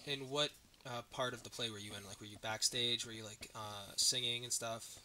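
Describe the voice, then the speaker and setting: A man's voice: medium pitch, fairly even intonation, normal speed, pushed and bright. A young man, still a student, hosting a casual podcast interview and asking the questions. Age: teens or young adult, a student.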